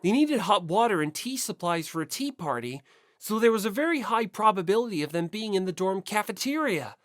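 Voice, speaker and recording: A woman narrates a scripted story in the first person as an audiobook reader. Her pitch is animated and varied. The recording is very dry. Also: a little fast, no room sound.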